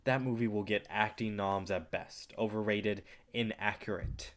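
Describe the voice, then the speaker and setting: A man's voice: on the low side, steady tone, normal speed, natural voice. Actor as cocky male movie distributor, blunt, impatient, foul-mouthed, stage play reading. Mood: dismissive.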